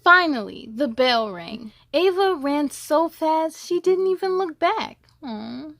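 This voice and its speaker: A woman's voice: sits high, expressive, takes it slowly, creaky, fairly bright tone. A woman hosting a children's story time, reading aloud expressively and speaking to young listeners in an encouraging way.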